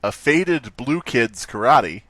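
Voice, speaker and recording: A man's voice: medium pitch, lively intonation, slow, fairly bright tone, no room sound. A man co-hosting a podcast with casual, playful banter and frequent joking. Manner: joking, playful, breaks into bits of song. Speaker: podcast co-host.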